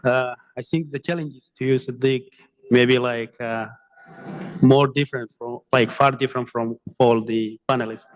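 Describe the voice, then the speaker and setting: A man moderating a panel in a flat, even tone at a middle pitch. He hesitates often, with fillers and restarts, as he hands over to the next speaker. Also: moderate pace, low-quality recording.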